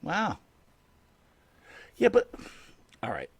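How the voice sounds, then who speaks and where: Lively-toned male interview host. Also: high voice, extremely slow, gravelly. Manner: joking, probing questions, hesitant fillers. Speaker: podcast host. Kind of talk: casual interview chat.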